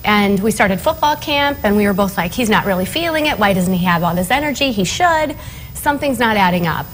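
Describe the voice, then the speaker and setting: A woman's voice: medium pitch, animated, a little fast, fairly bright tone, a little hiss or hum, poor audio. A middle-aged woman speaking as a television interview guest, conversational and matter-of-fact, with short emphatic phrases.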